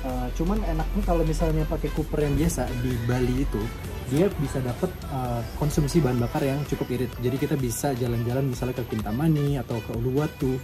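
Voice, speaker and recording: Fast-talking young man reviewing a car. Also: mid-range voice. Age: young adult. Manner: fast, chatty, explanatory with many fillers. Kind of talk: casual car review vlog. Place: outdoors in a garden and in an open-top car. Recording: loud road and outdoor noise. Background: traffic and outdoor ambience.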